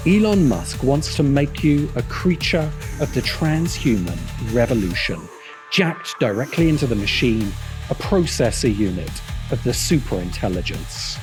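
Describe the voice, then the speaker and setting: A man's voice: medium pitch, very animated, moderate pace. Male video essayist, widely swinging intonation, scripted narration, dramatic and scornful, emphatic phrasing, faint music underneath. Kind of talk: scripted narration, polemical commentary. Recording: music bed behind voice. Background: background music.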